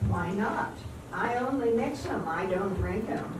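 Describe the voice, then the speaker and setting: An amateur actress playing a wry, world-weary bartender who delivers quips in a deadpan way in a radio drama. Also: female voice, medium pitch, a little slow, lightly acted. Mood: dry, wry. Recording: very echoey, noisy hall, distant mic.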